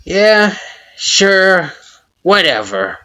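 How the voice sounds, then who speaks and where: A woman voicing a small spiteful male flower creature with a high-pitched, derisive, mocking voice. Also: very animated, very slow, bright, forceful tone, exaggerated voice, low-quality recording. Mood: grudging. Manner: shrill mocking sing-song, taunting.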